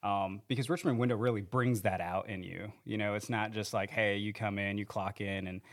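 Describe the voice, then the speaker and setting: Guest man, lowish gravelly voice, earnest rambling podcast talk. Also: steady tone, brisk. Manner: rambling, self-correcting, earnest. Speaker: training manager. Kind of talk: casual podcast interview. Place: podcast studio. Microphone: handheld studio mic.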